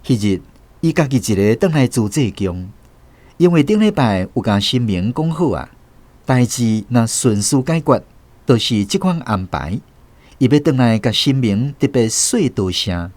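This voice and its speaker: Expressive Taiwanese male drama narrator. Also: mid-range voice, a little slow, no echo. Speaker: host and radio drama narrator. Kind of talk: scripted literary narration. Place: dry studio.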